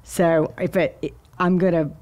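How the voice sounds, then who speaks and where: Earnest middle-aged woman guest, lowish voice. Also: steady tone, takes it slowly. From United States. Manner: earnest, warm storyteller, easily moved. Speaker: guest artist. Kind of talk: casual interview chat. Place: podcast studio with wooden bar shelves. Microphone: boom mic and headphones.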